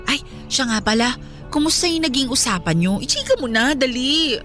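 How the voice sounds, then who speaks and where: Woman doing a teasing young filipina bestie, high swoopy voice, chatty radio drama, kind but scolds a bit. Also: moderate pace, faint music behind. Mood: curious, soothing. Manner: chatty, expressive, coaxing. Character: good-natured, teasing young best friend, gently scolding.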